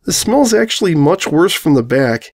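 A man's voice: a little high, sing-song, moderate pace, pitched above the performer's own voice. A man voicing an awkward, apologetic stallion pony who speaks hesitantly in a comic story. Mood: pleading.

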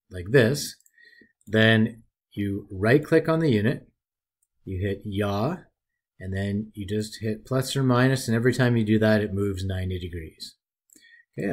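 A man with a fairly low voice narrates a software tutorial over a screen recording. He talks in a relaxed, folksy way, describing each click and drag as he goes.

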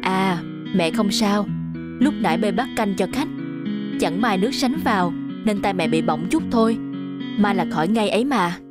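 A woman voicing a tender, hardworking mother in an animated tale, soft and reassuring. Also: mid-range voice, normal speed, loud music behind the voice, close to an everyday voice. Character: tender, hardworking, self-sacrificing mother of a schoolboy. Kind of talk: animated fairy-tale dubbing.

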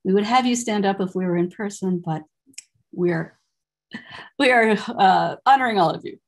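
Older woman, husky, giving formal speech. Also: mid-range voice, moderate pace. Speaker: university program director presiding over a ceremony. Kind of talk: formal prepared commencement address. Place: indoors on a video call.

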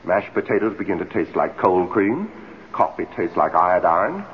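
An actor playing the urbane ghost of a late husband in a radio drama, theatrical, wry and articulate. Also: male voice, deep voice, sing-song, moderate pace. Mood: musing, weary. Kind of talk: old-time radio drama acting. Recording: dull, noisy old radio broadcast.

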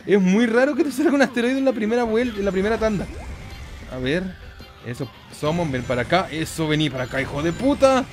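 High-voiced animated young male gamer. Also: fast. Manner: excitable, foul-mouthed. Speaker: gameplay video host. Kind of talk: casual game commentary. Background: game music.

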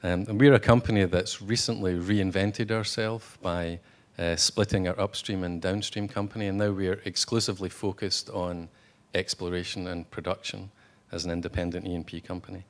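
Man, very deep voice, monotone, conference speech, halting, lots of uhs. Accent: non-Texan. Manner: halting, many fillers and restarts. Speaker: oil company executive. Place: hotel conference room.

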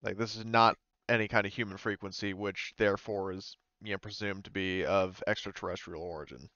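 A man's voice: deep voice, fairly even intonation, fairly quick, pushed and bright, no room sound. A man casually explaining a tabletop game as game master, in a conversational, hedging way over a muffled online voice call.

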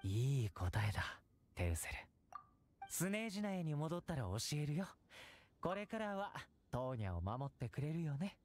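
A man playing a confident, easygoing young warrior and caring elder brother in an anime game, calm and teasing. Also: on the high side, moderate pace. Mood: gentle, instructive. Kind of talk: anime video game acting.

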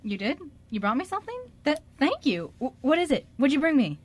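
A woman's voice: high voice, big pitch swings, a little fast. A woman acting a sweet, grateful, eager man in a radio drama, earnest and prone to stammering. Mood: excited, grateful.

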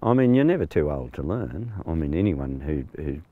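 Deep-voiced older Australian farmer. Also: male voice, very animated, moderate pace, phone-quality sound. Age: 60s. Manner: plain-spoken, hesitant with many fillers. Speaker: farm manager. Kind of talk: on-location interview. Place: outdoors on a rural farm paddock.

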